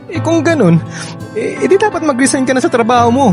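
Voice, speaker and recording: An actor playing a concerned, protective young husband, emotive and insistent, in radio drama dialogue. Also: male voice, very high-pitched, animated, fast talker. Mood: pleading. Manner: emotive, pleading, stammering with concern. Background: faint music.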